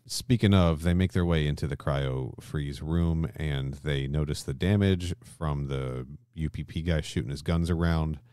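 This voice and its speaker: Male podcast co-host, extremely low voice, dry sound, casual and halting explainer. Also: dark tone, clear, resonant tone, dry recording. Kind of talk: casual discussion.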